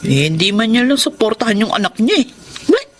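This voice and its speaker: Woman acting a blunt, high-voiced Filipina mother. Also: sing-song, a little fast, husky. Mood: frank, touchy. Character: defiant, unfaithful mother of three, blunt and reckless. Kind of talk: radio drama acting.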